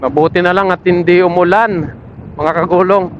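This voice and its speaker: A man's voice: high-pitched, steady tone, moderate pace. Male moto vlogger, casual and chatty, helmet intercom sound slightly muffled over faint road noise. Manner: friendly, addressing viewers with shoutouts. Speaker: motorcycle vlogger. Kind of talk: casual vlog chat while riding. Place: outdoors on a motorcycle in evening city traffic. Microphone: helmet intercom linked to action camera. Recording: muffled audio with traffic and engine noise. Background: vehicle and street noise.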